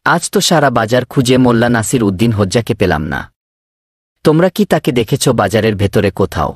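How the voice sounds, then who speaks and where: A man's voice: mid-range voice, expressive, fast talker, husky, dry recording, studio quality. A man voicing an eager village man in a cartoon, earnest and persuasive as he lays out plans. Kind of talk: cartoon voice acting.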